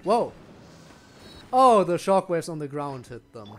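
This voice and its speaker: Man streaming games, lively intonation. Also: on the high side, unhurried, soft background music. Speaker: game streamer. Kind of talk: casual gameplay commentary.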